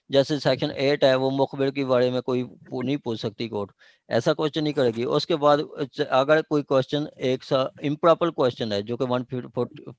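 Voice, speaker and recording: A man's voice: medium pitch, no echo. A man with a Pakistani accent giving an informal online law lecture, explaining by repeating points and checking that listeners follow. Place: online meeting.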